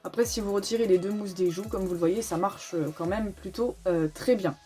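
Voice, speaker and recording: A woman talks through a tutorial in an informal, explanatory way, calling out each step as she reaches it. Her voice sits at a medium pitch for a woman. Soft music plays behind her. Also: a little fast. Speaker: host of a motorcycle gear tutorial. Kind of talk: casual step-by-step tutorial voice-over.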